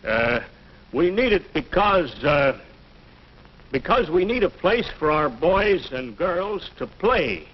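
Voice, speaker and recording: A man's voice: on the high side, sing-song, highs cut off, heavily acted. An actor playing an earnest middle-aged American father who speaks hesitantly and gropes for words, in old educational film style. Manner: hesitant, halting, pondering. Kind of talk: mid-century educational film acting.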